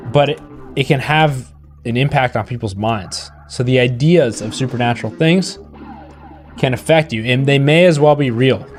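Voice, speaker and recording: A man with a medium-pitched voice talks casually as a stream co-host. He chats while playing a video game, with faint music in the background. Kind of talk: casual chat while gaming.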